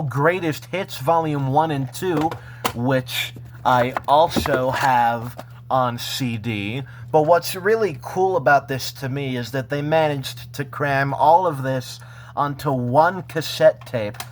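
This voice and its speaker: Male vlogger, casual show-and-tell, unhurried and halting, with some background noise. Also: medium pitch. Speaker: vlogger showing a personal collection.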